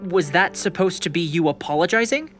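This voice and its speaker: An actor playing an earnest, bookish and plucky schoolboy in an audio drama. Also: male voice, high-pitched, lively intonation, a little fast, a bit bright, soft background music. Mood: subdued.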